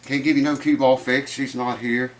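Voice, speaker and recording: A man's voice: medium pitch, flat delivery, moderate pace, a little hiss or hum, poor audio. A man hosting a guitar repair vlog in his workshop, talking in a folksy, enthusiastic way and sounding congested with a stuffed-up nose. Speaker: guitar repair channel host.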